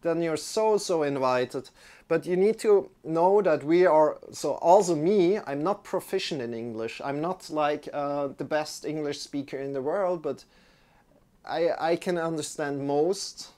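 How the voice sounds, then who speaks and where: Young German yoga teacher, casual livestream chat, clip-on mic, loose and rambling. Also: male voice, a little high, measured pace, darkish tone. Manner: rambling, friendly, restarts often. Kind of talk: casual chat with live viewers. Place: indoor room, sitting on a yoga mat.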